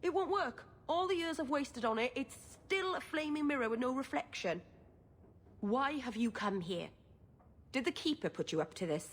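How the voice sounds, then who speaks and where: A woman's voice: high-pitched, expressive, normal speed. A woman playing an earnest, stubborn and sensitive young elf mage in a video game. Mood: bitter frustration. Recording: long hall-like reverb.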